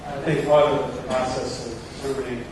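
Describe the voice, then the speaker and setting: A man giving unscripted advice as a conference panelist, in halting phrases with repeated words. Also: medium pitch, level tone. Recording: roomy, distant mic, noisy hall.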